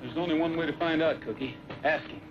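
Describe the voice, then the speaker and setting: An actor playing a calm, steady cowboy hero in an old-time western drama. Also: male voice, fairly high pitch, quick pace, natural voice. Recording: dull vintage soundtrack with music behind.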